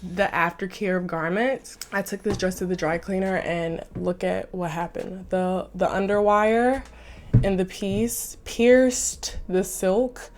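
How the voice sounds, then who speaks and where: A woman's voice: mid-range voice, fairly even intonation, takes it slowly, darkish tone, slight background noise. A young woman with a stuffy nose from a slight cold, chatting enthusiastically to camera in a casual fashion vlog. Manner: chatty and enthusiastic, says "like" often. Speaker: fashion vlogger.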